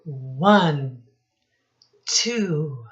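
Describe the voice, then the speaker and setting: A woman's voice: deep voice, lively intonation, extremely slow, lo-fi sound. A woman teacher leading young children through a counting lesson in an encouraging, sing-song way.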